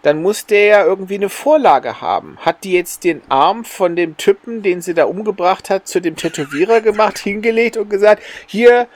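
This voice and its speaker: A man's voice: high voice, lively intonation, normal speed, hardly any pauses. A man chatting casually as a podcast co-host, wry and questioning.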